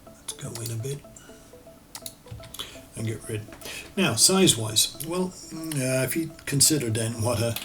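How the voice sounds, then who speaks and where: A man with an Irish accent teaching architectural CAD over a screen recording, relaxed and thinking aloud as he works, often correcting himself. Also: mid-range voice, a little slow, faint music behind. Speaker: architectural designer and CAD tutor. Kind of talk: screen-recorded software tutorial.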